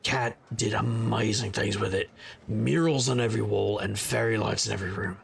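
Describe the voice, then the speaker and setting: An actor playing a gruff, world-weary middle-aged private investigator in an audio drama, narrating with wry noir weariness in a gravelly voice. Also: male voice, lowish voice, fairly even intonation, moderate pace. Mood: wistful, wry.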